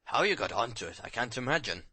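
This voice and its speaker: A man's voice: medium pitch, big pitch swings, quick pace, bright, forceful tone, husky, no room sound, heavily acted. A man playing an eager, proud police detective, blunt and self-satisfied, in an audiobook story.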